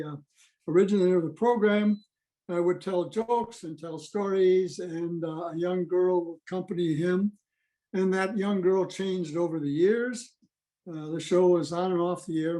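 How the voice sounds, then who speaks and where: Higher-voiced, mellow man presenting, halting delivery. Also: low-quality recording. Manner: halting, matter-of-fact. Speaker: presenter at an old-time radio club meeting. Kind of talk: informal history presentation. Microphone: video call.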